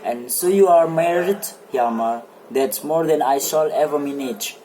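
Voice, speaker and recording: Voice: mid-range voice, measured pace, mellow, a little hiss or hum, close to an everyday voice, rough recording. An amateur reader playing a serious, brooding man who questions an old friend in a stage play, in grave, formal phrasing. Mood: moved, wistful. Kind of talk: amateur dramatic play reading.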